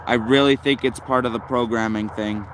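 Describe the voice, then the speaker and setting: A man's voice: medium pitch, steady tone, normal speed, noisy background. A man giving casual, unscripted hobbyist commentary as a livestream host, rambling and thinking aloud. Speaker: shortwave radio livestream host.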